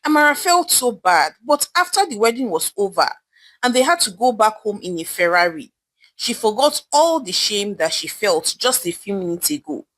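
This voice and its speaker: A woman's voice: medium pitch, very animated, normal speed, a bit bright. A woman with a Nigerian accent narrating a folktale dramatically as a storyteller.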